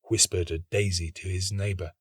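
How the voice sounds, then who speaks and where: A man giving a scripted, measured and expressive storybook narration. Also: sits low, husky, no room sound, clean studio sound. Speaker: actor reading a short story.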